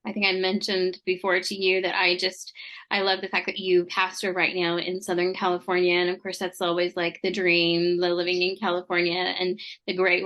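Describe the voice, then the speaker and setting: A woman's voice: fairly low pitch, fairly bright tone. A woman hosting a podcast interview, encouraging and affirming toward her guest. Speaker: podcast host.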